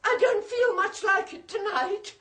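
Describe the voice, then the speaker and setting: An actress playing a timid, gentle sister who speaks in a fragile, hesitant, tearful way in a radio drama. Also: female voice, extremely high pitch, fairly even intonation, measured pace. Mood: withdrawn. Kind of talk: 1970s radio drama acting.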